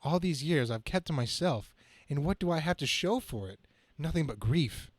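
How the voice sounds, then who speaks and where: A man's voice: a little high, expressive, normal speed, dry recording. A man playing an earnest, worried young superhero in a dramatic comic-book reading. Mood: brooding.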